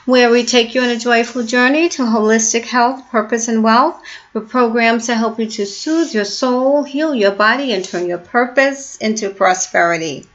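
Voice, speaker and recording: A woman's voice: a little high, moderate pace, lo-fi sound. A woman in her fifties, a health coach, talking casually to her viewers with lots of fillers and restarts. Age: 50s. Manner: warm, chatty, many fillers and restarts. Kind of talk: casual talking-to-camera vlog.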